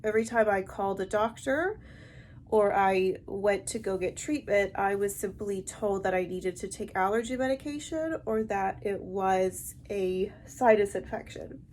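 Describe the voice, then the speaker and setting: A woman with a stuffy, heavily congested nasal voice, talking to camera in a halting, explanatory way in a personal vlog. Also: mid-range voice, darkish tone. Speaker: vlogger. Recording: amateur recording with light background noise.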